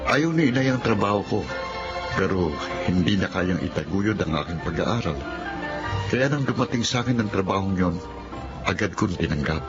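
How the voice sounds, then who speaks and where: A man's voice: deep voice, animated, moderate pace, pauses often. A man with a Filipino accent playing an earnest, polite young working student and devoted son in a radio drama. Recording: dull band-limited radio drama audio, music.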